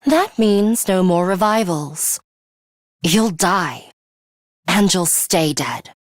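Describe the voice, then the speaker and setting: A woman playing a serious, composed young female fighter in a video game, dryly scolding and sharp-tongued but caring. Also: fairly low pitch, animated, no echo, clean studio sound. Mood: stern warning. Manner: measured, stern, dryly scolding.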